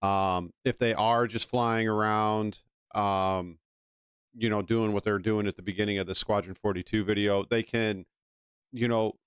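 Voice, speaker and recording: A man's voice: lowish voice, steady tone, measured pace, clear, resonant tone, dry recording. A man giving casual, rambling live commentary as a streamer reacting to a video.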